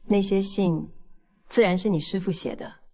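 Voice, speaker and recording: A woman's voice: mid-range voice, moderate pace, a little mellow, close to an everyday voice. Woman playing a cold, contemptuous young villainess. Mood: cold, scornful. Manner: contemptuous, coolly taunting. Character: cold vengeful young woman criminal, scornful, unrepentant. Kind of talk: dramatized audio fiction acting.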